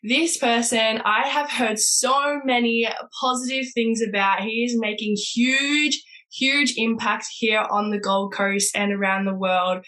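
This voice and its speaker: A woman's voice: on the high side, fairly even intonation, unhurried, pushed and bright. A woman hosting a podcast, upbeat and enthusiastic, with frequent fillers like "like" and "so". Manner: bubbly, enthusiastic, heavy use of "like" and "so". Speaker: podcast host.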